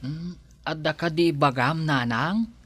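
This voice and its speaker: A bright-voiced earnest young villager. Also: male voice, on the high side, slow, breathy edge, dry recording, exaggerated voice. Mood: earnest, explaining. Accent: Ilocano. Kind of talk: radio drama acting.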